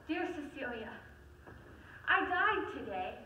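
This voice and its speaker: A woman's voice: sits high, big pitch swings, sharp tone, a little hiss or hum, exaggerated voice. Woman acting a frightened teenage girl, echoey room. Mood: dazed, somber. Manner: dramatic, halting, pleading delivery. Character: frightened, accusing dead high school girl writing from hell. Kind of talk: dramatic monologue. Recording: echoey room, far mic, dull lo-fi.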